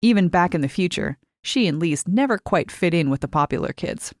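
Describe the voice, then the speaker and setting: A woman reading short fiction as a scripted narration, measured and clearly articulated. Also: lowish voice, expressive, dry recording, studio quality. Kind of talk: scripted audiobook-style narration.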